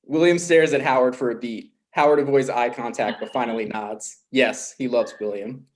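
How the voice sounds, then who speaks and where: Man reading stage directions, middle-pitched. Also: steady tone, normal speed. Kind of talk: scripted narration at a table read.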